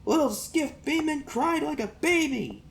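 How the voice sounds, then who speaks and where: A man's voice: very high-pitched, lively intonation, measured pace, creaky, fairly bright tone, a little hiss or hum, voice pushed up, exaggerated voice. A man voicing a spiteful rich boy bully who taunts in a jeering sing-song, as in an audiobook. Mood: crowing. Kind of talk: acted audiobook character voice.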